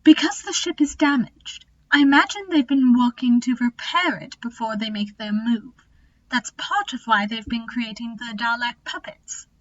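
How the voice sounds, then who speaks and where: A woman's voice: a little high, normal speed, fairly bright tone, highs cut off. A woman playing a clever, resolute alien Time Lord who looks thirty, composed and explanatory, in a fan audio drama. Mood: grave.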